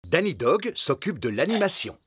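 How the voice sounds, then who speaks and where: A man's voice: a little high, big pitch swings, normal speed. A man narrating a scripted children's cartoon as its storyteller. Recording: loud music under the voice. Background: music.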